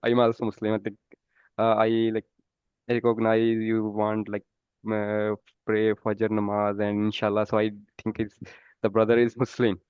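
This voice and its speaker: A Pakistani man whose first language is Punjabi, speaking English with a Pakistani accent, politely and haltingly with many "uh" fillers. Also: fairly low pitch, monotone, smooth, no room sound, phone-quality sound. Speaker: developer practicing English. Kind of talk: casual conversation practice.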